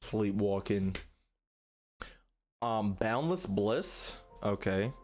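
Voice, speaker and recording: A man's voice: fairly low pitch, animated, very slow, darkish tone. Young guy doing reaction commentary, slangy, keeps repeating himself, bit of music behind him. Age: young adult. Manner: slangy, repeats phrases in bursts. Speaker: reaction video host. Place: small room indoors at a streaming setup. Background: music from the trailers he watches.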